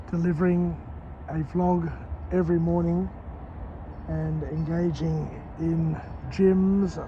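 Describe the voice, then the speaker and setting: A croaky-voiced man walks outdoors at dawn, filming a vlog as he goes. He speaks in short, slow phrases. The muffled recording picks up clear traffic noise around him. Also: fairly high pitch, dark tone. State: hoarse, croaky voice. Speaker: vlogger. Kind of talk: walk-and-talk book summary vlog. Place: outdoors on a city street at dawn.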